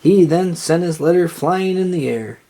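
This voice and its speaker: An expressive storyteller reading a children's book aloud. The mid-pitched voice is slightly breathy and rises and falls in lively swings. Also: moderate pace.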